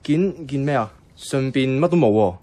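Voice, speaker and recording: A man's voice: mid-range voice, lively intonation, moderate pace, dark tone, natural voice. An actor playing a bemused, reluctant young man who narrates and talks in a radio drama, wry and questioning, with a Hong Kong Cantonese accent. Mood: puzzled. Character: bemused, reluctant young male companion narrating a night walk.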